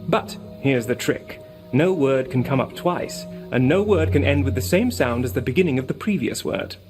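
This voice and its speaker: An actor playing a smug, teasing elf in a video game, explaining things in a crisp, superior way. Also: medium pitch, expressive, normal speed, music in the background, close to an everyday voice. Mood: playful challenge. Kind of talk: video game character acting.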